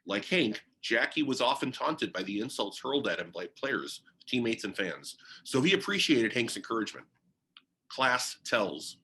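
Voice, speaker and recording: A man's voice: mid-range voice, sing-song, normal speed, pushed and bright, low-quality recording. A man reading a biography aloud as narration, in the style of a book for young listeners.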